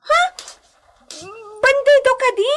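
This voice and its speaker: A very high-pitched young heiress played by a woman in a radio drama. She sounds sheltered and timid, a señorita of the hacienda. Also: fairly even intonation, slow pace, noisy background. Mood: curious, chatty.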